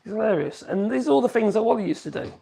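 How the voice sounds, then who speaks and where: A man's voice: high voice, lively intonation, rapid-fire, vocal fry, mellow, slightly rough. A man, hosting a casual woodworking livestream. He rambles in a chatty way and coos to his puppy in a sing-song voice. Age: 50s.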